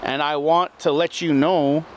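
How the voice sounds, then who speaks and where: A man giving a slow, earnest self-help talk that circles back over the same points. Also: a little high, steady tone, slight background noise.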